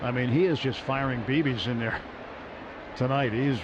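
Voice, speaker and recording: A man calling a baseball game on the radio as play-by-play announcer, brisk and lifting with excitement on big plays. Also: medium pitch. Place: ballpark broadcast booth. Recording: muffled broadcast audio with crowd noise.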